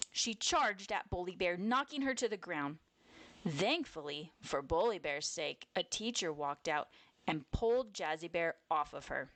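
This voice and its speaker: Slow, deliberate woman reading a children's story. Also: lowish voice, a bit bright, no echo. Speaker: storybook narrator. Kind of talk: children's read-aloud, scripted narration.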